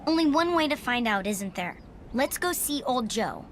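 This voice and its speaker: An actress playing a bold, impatient young daughter in a video game, sassy and urgent in manner. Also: female voice, on the high side, very animated, normal speed, a little hiss or hum. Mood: urging. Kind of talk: video game cutscene dialogue.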